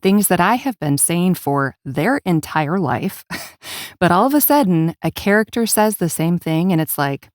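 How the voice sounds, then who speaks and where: A woman with a notably low voice, hosting an interview podcast. She speaks conversationally, reflective and measured, in a close, dry recording. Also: lively intonation, dry recording. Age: adult, parent of children. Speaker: podcast host.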